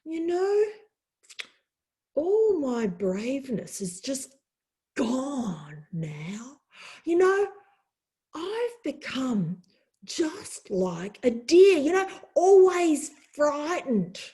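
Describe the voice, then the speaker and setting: A woman's voice: high-pitched, very animated, very slow, warm tone, voice pushed up, heavily acted. A woman voicing a blustering, frightened male forest giant in a storybook tale, stammering and whiny. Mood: fretful.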